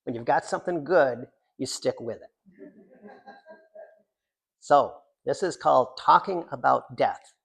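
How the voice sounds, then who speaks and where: An older American man, a poet introducing his work at a reading in an informal, halting, digressive way with wry, self-deprecating humour. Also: mid-range voice, creaky, a bit bright, husky. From Central New York, United States. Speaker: poet reading from own book. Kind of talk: informal author talk at a poetry reading.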